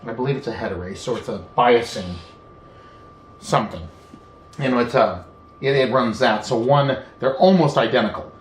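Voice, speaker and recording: In an echoey room with a faint bed of noise, a man walks through a hands-on repair without a script. He thinks aloud as he goes, unhurried, often pausing and letting phrases trail before picking them up again. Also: mid-range voice. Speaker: hobbyist video presenter. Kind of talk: unscripted hands-on repair walkthrough.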